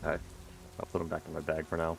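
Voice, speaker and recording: A man's voice: rumbling low, completely flat delivery, fast, gravelly, soft background music, poor audio. A man chatting casually as a player in a tabletop roleplaying game.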